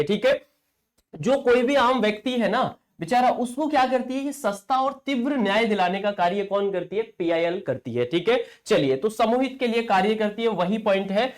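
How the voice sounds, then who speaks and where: Male coaching teacher for exam lectures; explanatory, repetitive delivery built on self-answered questions. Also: high voice. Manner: asks rhetorical questions and answers them himself.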